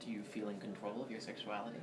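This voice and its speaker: A man's voice: lowish voice, flat delivery, fast, lightly acted. A man reading the part of an earnest young filmmaker in an informal drama reading, questioning persistently. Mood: persistent, probing. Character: earnest, probing young male filmmaker interviewing someone. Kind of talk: informal staged script reading. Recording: distant, echoey room, clear background noise.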